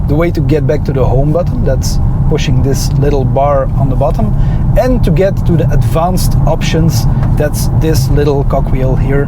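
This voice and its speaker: A man's voice: a little high, very noisy. A man with a Dutch accent and Dutch as his first language, narrating an enthusiastic, opinionated car review with frequent "uh" fillers.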